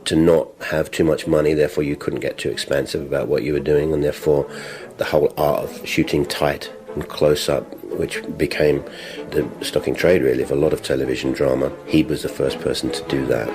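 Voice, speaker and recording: Very deep-voiced man giving commentary. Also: flat delivery, fairly quick, music in the background, low-quality recording. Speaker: interviewee. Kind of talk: reflective commentary.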